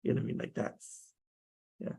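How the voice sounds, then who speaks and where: A man's voice: brisk, dark tone. A man talking casually about a script at a table read, rambling with many restarts.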